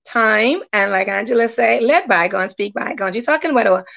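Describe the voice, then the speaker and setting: A woman's voice: on the high side, fairly quick, vocal fry, a bit bright. A woman speaking earnestly as a guest in a conversational online panel, on dull lo-fi call audio.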